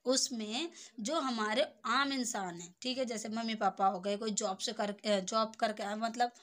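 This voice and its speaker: A woman's voice: fairly high pitch, measured pace, a bit bright. A young adult woman giving an informal lesson, explaining step by step and often checking that the listener follows. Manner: explanatory, repeatedly checking understanding with tag phrases. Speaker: tutor.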